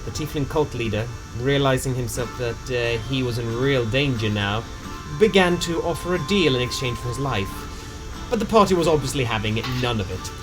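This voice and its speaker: A man's voice: medium pitch, lively intonation, normal speed, low-quality recording. A man narrating a tabletop game story recap in a casual, dramatic storytelling way with some slang. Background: music.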